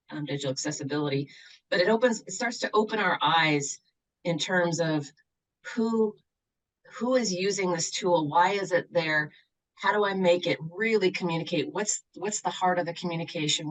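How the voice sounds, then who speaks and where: A woman's voice: low-pitched, poor audio. A woman from the Seattle area who works as a university accessibility coordinator, answering interview questions in an earnest, reflective way with some fillers.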